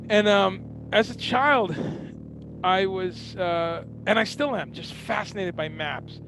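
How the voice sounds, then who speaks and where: A man's voice: sits high, takes it slowly, vocal fry, noisy background. A man in his fifties from Guyana, hosting a show with a loose, rambling casual monologue. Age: 50s. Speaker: host.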